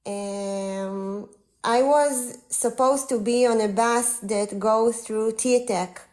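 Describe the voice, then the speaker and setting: A woman in her forties with an Israeli accent, a guest speaking over a live call, earnest and halting, with many fillers. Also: on the high side, steady tone, darkish tone. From northern Israel. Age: 40s. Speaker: guest on a livestream. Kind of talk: casual conversation.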